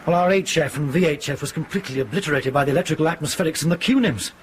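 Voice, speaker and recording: An actor playing a stern, authoritative airline captain in a radio drama, with a clipped, commanding manner. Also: male voice, a little high, animated, rapid-fire, a little hiss or hum, close to an everyday voice. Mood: worried, brisk.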